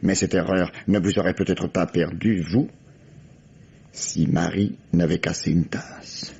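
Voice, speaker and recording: Police commissioner: very low voice, shrewd, formal, world-weary, deliberate pauses, old French radio drama with muffled sound. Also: male voice, fairly even intonation, vocal fry, darkish tone, slight background noise. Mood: grave. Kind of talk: vintage French radio mystery acting. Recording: dull, band-limited old radio recording.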